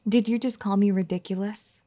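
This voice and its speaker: A woman voicing a firm but caring young wife who scolds and then gently pleads in an audio roleplay. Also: mid-range voice, close to an everyday voice. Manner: bossy teasing scolding that turns gentle and pleading. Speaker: ASMR roleplay performer. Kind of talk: audio roleplay addressed to the listener.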